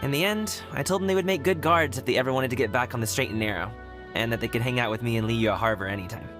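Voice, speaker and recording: This is a man playing a youthful, earnest son in a video game. The voice is medium in pitch, casual and a little hesitant. Light music runs behind. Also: quick pace, lightly acted. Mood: friendly. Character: friendly, youthful son, earnest but self-doubting.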